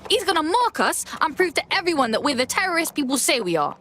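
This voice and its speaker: Mouthy rebel girl, high lively voice. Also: quick pace, slight background noise, exaggerated voice. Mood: brash. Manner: brash, swearing, blunt. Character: foul-mouthed young female rebel.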